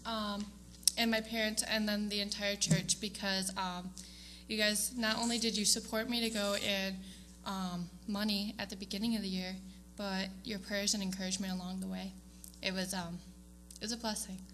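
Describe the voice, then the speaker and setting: A woman's voice: mid-range voice, level tone, moderate pace, hardly any pauses, a bit bright, slight background noise. A young woman giving a casual, hesitant speech to her church congregation, with frequent ums and small laughs. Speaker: student giving award speech. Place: church sanctuary.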